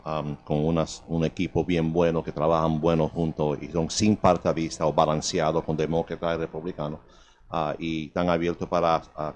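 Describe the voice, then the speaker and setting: A man with a Cuban accent hosting a radio interview, switching between Spanish and English and pausing on fillers as he relays guests' words in Spanish. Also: very deep voice, darkish tone, slight background noise. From Cuban and Puerto Rican heritage. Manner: halting, many fillers and restarts. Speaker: radio host. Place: radio studio.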